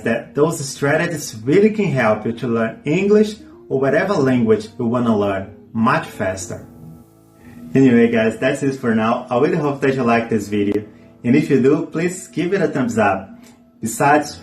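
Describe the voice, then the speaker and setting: A man whose first language is Portuguese, presenting a scripted video lesson with earnest, friendly advice to his viewers. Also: medium pitch. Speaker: language teacher YouTuber. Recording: echoey room with faint music.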